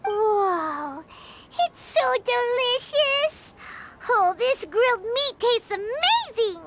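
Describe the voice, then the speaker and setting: A woman voicing a tiny, bubbly, food-loving fairy companion with a squeaky childlike voice in a video game. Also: big pitch swings, very slow, background noise, exaggerated voice. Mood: excited. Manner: exclaiming, bubbly, refers to self in third person. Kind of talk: animated video game voice acting. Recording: loud music behind. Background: game music.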